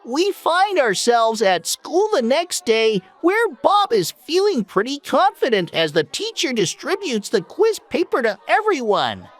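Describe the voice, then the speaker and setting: Slow, high-voiced woman storyteller. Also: huge pitch swings. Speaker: narrator. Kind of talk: scripted children's story narration.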